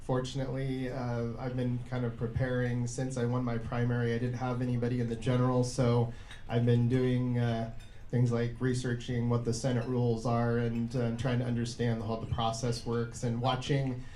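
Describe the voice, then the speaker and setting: Man, American accent, earnest, halting, level intonation, echoey room. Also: mid-range voice, completely flat delivery, warm tone. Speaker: newly elected state senator, panelist. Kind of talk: informal panel answers. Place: indoor event room. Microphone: shared event microphone. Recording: echoey room, faint background noise.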